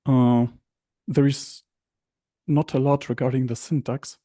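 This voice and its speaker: A man asks a question over a remote connection, picking his words slowly and carefully with many hesitations. His voice sounds dry and close. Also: mid-range voice, steady tone, dark tone, no echo. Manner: slow, careful, halting with many "uh"s. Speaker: online questioner. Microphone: remote call mic.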